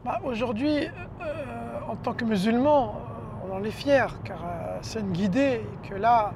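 A man from France with a French accent, giving personal testimony in a halting, hesitant way. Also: very high voice. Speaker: interviewee. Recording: clear traffic and vehicle noise.

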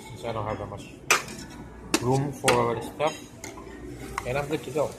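A man's voice: mid-range voice, creaky, heavy background noise. A man casually walking through a restaurant buffet, musing to himself as he fills his plate. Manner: slow, musing, talks to himself while picking food. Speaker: vlogger. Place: restaurant buffet line, indoors. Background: loud background music, clinking dishes.

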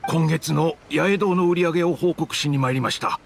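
A man's voice: a little high, a little fast, creaky, slight background noise. A man voicing a dutiful, polite book editor in an anime game, formal and deferential. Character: dutiful, polite male book editor reporting to his boss.

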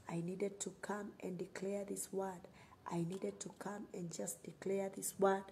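A woman's voice: medium pitch, warm tone, slight background noise. A middle-aged woman with a Kenyan accent preaching and praying on a livestream, emphatic and repeating phrases for effect. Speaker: online preacher. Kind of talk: sermon and prayer on a livestream.

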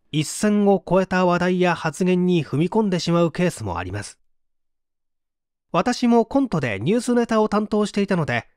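Audiobook narrator, scripted explanatory reading, animated intonation, clear articulation, dry close recording. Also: mid-range voice, no echo. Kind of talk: scripted book read-aloud narration.

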